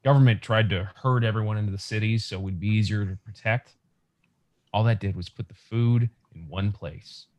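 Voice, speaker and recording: A man's voice: fairly low pitch, normal speed, lo-fi sound, natural voice. A man acting a young, practical, reassuring scavenger survivor in an urgent, conversational comic book table read over a video call. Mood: grave, sympathetic.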